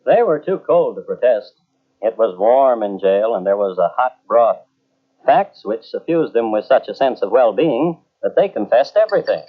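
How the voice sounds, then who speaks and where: A man giving wry, measured scripted narration in an old radio drama. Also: medium pitch, very animated. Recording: muffled old radio broadcast.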